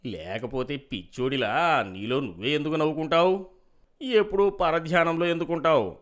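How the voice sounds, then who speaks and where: A man's voice: fairly high pitch, normal speed, fairly bright tone, natural voice. A man playing a scheming older village headman in a moral story, coaxing and patronizing in rustic speech. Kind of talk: moral story character dialogue.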